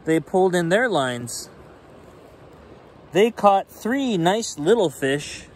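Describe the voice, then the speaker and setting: A man in his forties reading a children's picture book aloud expressively, in simple, deliberate phrasing. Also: sits high, expressive. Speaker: storyteller reading children's bedtime stories. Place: outdoors on a rainy forested shore. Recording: outdoor ambient noise.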